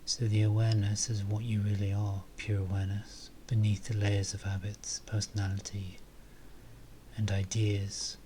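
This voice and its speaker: A man softly leading a guided meditation, calm and unhurried, with long pauses between phrases. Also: fairly low pitch, noisy background.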